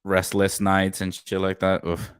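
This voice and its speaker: Deep-voiced upbeat young podcast host. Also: male voice, monotone, measured pace, clean tone, no echo, studio quality. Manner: loud, upbeat, swears freely. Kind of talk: casual chat.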